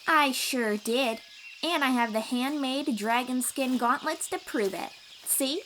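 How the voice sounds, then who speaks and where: A woman playing a cheerful, boastful young sea-dwelling warrior in an audio roleplay, eager and expressive as she talks to the listener. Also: sits high, measured pace, slight background noise. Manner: eager, bragging, reassuring, expressive storyteller.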